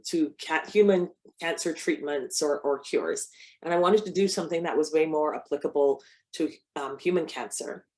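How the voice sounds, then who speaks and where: A woman's voice: on the low side, dark tone. A middle-aged woman from Barbados, a cancer biologist speaking to an audience in a conversational way, with restarts. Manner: conversational, with frequent restarts and fillers. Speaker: cancer biologist giving a talk. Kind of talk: personal talk to an audience.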